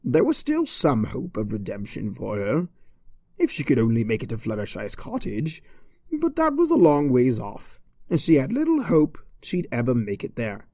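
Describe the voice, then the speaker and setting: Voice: medium pitch, wildly swooping pitch, normal speed, darkish tone, no room sound, clean studio sound. A narrator reading a scripted comic story with dramatic storybook delivery. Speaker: narrator, fan fiction reader.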